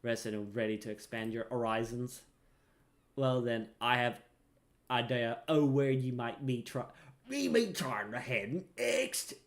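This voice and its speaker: A man reads out a gruff working-class tavern keeper from a fantasy game. The talk is folksy and chatty, stumbling now and then, at a middling pitch. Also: animated, a little slow. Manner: folksy, colloquial, stumbles while reading aloud. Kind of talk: reading fantasy game dialogue aloud.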